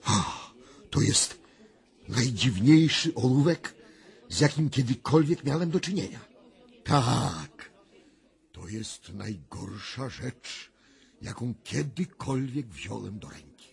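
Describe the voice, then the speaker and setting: An actor playing a mysterious, intense clairvoyant in a radio drama, speaking deliberately with dramatic, weighty pauses. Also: male voice, mid-range voice, expressive, creaky, breathy edge, exaggerated voice. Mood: solemn, reflective. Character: male clairvoyant, solemn, intense, mysterious seer. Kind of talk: 1990s radio drama acting.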